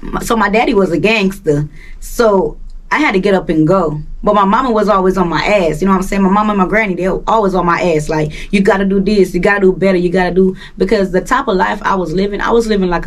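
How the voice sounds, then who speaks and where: A woman's voice: medium pitch, brisk, far from the mic. Slangy young Jamaican woman, off-mic. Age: young adult. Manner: laid-back, slangy, rambling with many fillers. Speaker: guest musician. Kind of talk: casual interview talk.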